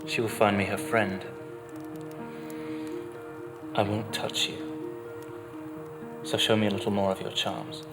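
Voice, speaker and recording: Guy acting sardonic period gentleman seducer, lowish voice, formal and scornful, music playing behind. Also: level tone, normal speed, close to an everyday voice. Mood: insistent, scornful. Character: cynical, insistent gentleman libertine, cool and scornful. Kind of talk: dramatized memoir reading.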